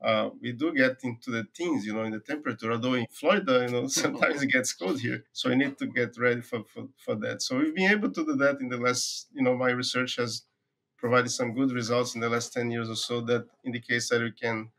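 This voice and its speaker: A middle-aged man from Brazil with a Brazilian accent, a university agronomy professor answering interview questions in a relaxed, thoughtful way, pausing often with "uh" and repeating words as he finds them. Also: medium pitch. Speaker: forage agronomy professor, guest.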